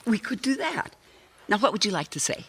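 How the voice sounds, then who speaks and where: A woman's voice: medium pitch, very animated, brisk, a bit bright, husky, exaggerated voice. A woman playing a kindly, hesitant secretary who humors a troubled stranger in a radio mystery drama. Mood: flustered, coaxing. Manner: hesitant, placating.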